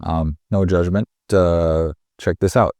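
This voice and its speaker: Man, low voice, dark tone, slightly nasal, chatty rambling tutorial host. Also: steady tone, slow pace, no echo, clean studio sound. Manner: chatty, rambling, self-correcting. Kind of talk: casual screen-recording tutorial.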